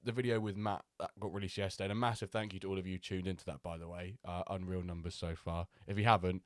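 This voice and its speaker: Low-voiced man, slightly nasal. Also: level tone, fast, dry recording, studio quality. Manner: chatty, rambling, with many ums and restarts. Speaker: streamer hosting a watchalong. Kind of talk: casual live-stream chat.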